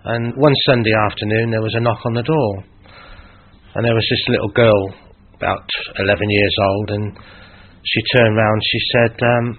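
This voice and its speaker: A man's voice: fairly low pitch, steady tone, normal speed. A middle-aged man telling casual anecdotes as an interviewee. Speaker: interviewee, former CB user. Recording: band-limited phone-like sound.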